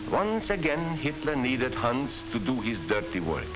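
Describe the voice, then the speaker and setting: A man's voice: medium pitch, very animated, a little slow, poor audio. An actor playing an earnest Hungarian American man who narrates a 1940s propaganda film with emphatic, grave storytelling. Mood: earnest, warning. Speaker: narrator of a dramatized educational film. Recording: 1940s film soundtrack with loud music.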